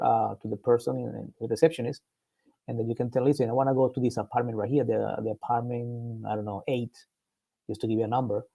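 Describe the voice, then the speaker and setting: Mellow-voiced man presenting a product webinar. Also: medium pitch, gravelly, dry recording. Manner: halting, often repeats and rephrases words mid-sentence. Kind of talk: informal technical product presentation.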